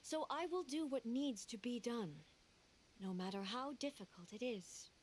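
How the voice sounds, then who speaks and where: A woman voicing a composed, regal female deity in a game, measured and formal. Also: a little high, lively intonation, a little hiss or hum, close to an everyday voice. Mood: solemn. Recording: game audio with music behind.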